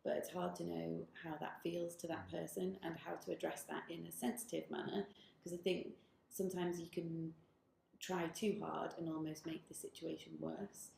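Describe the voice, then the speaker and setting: Gravelly-voiced woman director, thoughtful. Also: lowish voice, little pitch movement, normal speed, darkish tone. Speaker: technology director. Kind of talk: workplace interview. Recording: roomy office with faint noise.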